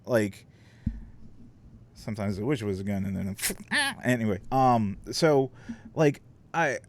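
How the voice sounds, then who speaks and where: A man's voice: fairly low pitch, unhurried, slight background noise. A man streamer chatting casually on a desk mic, rambling and swearing, with lots of fillers. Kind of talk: casual chat. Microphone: desk microphone.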